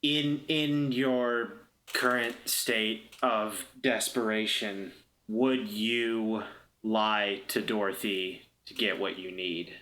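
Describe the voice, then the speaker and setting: A man improvising scene description for a tabletop game in casual, halting talk, repeating words as he thinks. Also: fairly low pitch, fairly even intonation, pushed and bright, rough recording. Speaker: player on an actual play podcast.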